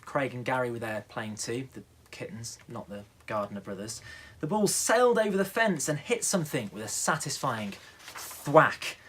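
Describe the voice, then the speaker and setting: A man's voice: mid-range voice, lively intonation, moderate pace, a bit bright, husky. A male radio newsreader narrating a children's bedtime story.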